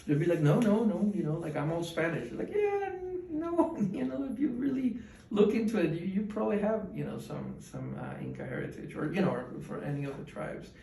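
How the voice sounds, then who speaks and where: A man's voice: high-pitched, very animated, a little fast, creaky, warm tone. A man in his twenties from Ecuador, answering interview questions in a rambling, casual way with many fillers and restarts. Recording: echoey room, mic at a distance.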